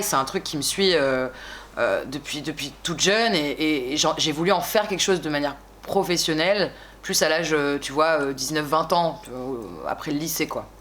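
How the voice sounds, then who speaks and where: A young woman in her twenties from France, a singer chatting casually in an interview, relaxed and slangy, rambling with frequent little asides. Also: deep voice, a little hiss or hum. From France (Bordeaux).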